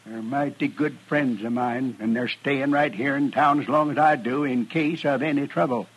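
Man acting a sly, husky old-west rancher. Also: medium pitch, normal speed, lo-fi sound, lightly acted. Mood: smugly firm. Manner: drawling rustic speech, crafty. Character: sly, folksy male rancher, feigning innocence. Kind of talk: old-time radio western acting. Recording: vintage radio drama recording.

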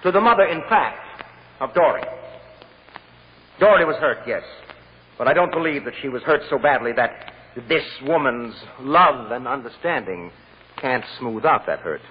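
Guy acting as an earnest american defense lawyer, radio drama, insistent, very lively pitch, dull hissy old recording. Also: normal speed, long pauses, in a large hall, close to an everyday voice. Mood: earnest, pleading. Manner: articulate, insistent courtroom pleading.

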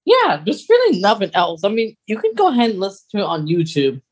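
A podcast co-host chatting casually and recapping a story in a halting, rambling way. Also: mid-range voice, wildly swooping pitch, breathy edge.

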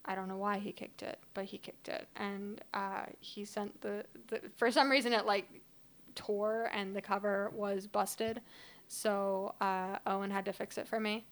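A woman's voice: medium pitch, little pitch movement, measured pace. A woman in her twenties, a podcast guest, introducing herself in casual, rambling chat with lots of ums. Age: 20s. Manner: chatty, self-deprecating, many ums.

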